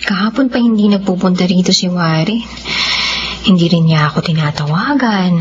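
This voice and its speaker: A woman's voice: on the low side, moderate pace, close to an everyday voice. An actress playing a caring, anxious young girlfriend with a Filipino accent in a radio drama. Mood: worried. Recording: muffled radio recording, faint noise.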